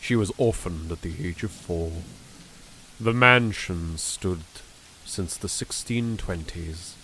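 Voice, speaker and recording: A British man narrating a horror game legend as a solemn, ominous storyteller, measured and lingering on phrases. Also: sits low, bright, forceful tone, slight background noise, pitched below the performer's own voice, heavily acted, rough recording. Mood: grave, ominous.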